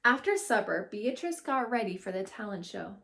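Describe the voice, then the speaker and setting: A woman educator giving an expressive read-aloud storytelling lesson for children, acting out the characters' lines. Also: mid-range voice, normal speed, creaky, background noise. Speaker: school educator reading to children.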